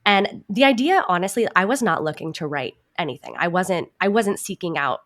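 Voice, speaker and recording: Lower-pitched woman reflecting in an interview. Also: expressive, brisk, a bit bright. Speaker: podcast guest, actor and writer. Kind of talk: conversational interview answers.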